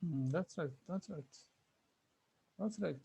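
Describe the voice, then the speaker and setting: A man's voice: a little high, slow, creaky, warm tone, breathy edge. A man teaching an online Arabic class, patiently repeating phrases and asking students questions.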